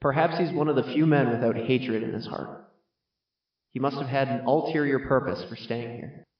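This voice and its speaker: An actor playing a grim phantom soldier in an audio drama, giving cryptic, ominous warnings in a measured way. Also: male voice, mid-range voice, fairly even intonation, close to an everyday voice. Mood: cautioning. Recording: echoing, distant, muffled, loud music behind.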